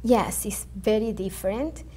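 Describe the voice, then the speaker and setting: A woman from Venezuela with a Venezuelan Spanish accent, answering interview questions carefully and haltingly. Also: medium pitch, dark tone, slightly rough. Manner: careful, halting, with fillers and restarts. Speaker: real estate agent guest.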